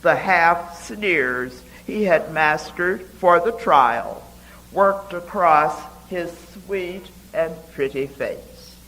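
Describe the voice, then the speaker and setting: A man giving a dramatic poetry reading, deliberate and expressive, with emphatic pauses. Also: fairly high pitch, low-quality recording.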